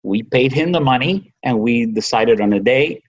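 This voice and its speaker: A man in his sixties with a Cuban accent, a native Spanish speaker, telling his own story conversationally and haltingly. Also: mid-range voice, expressive, lo-fi sound. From Cuba. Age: 60s. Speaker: podcast guest, physician.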